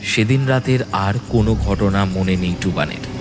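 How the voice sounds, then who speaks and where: A man narrating a scripted horror story in a dramatic, expressive and suspenseful storytelling manner. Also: on the low side, normal speed, heavy background noise.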